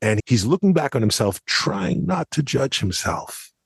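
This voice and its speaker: A man's voice: medium pitch, sing-song, unhurried, creaky, breathy edge, dry recording, clean studio sound. An American man giving conversational podcast analysis in an explanatory, emphatic way. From United States. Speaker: podcast commentator.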